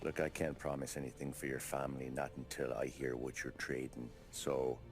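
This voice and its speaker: A shrewd, world-weary American detective with an extremely deep voice. He probes and needles in folksy slang, as in a cinematic crime game. Music plays clearly behind him. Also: level tone, normal speed. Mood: pressing, knowing. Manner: sardonic, probing, slangy and folksy. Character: world-weary, shrewd male police detective probing an informant. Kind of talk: cinematic video game acting.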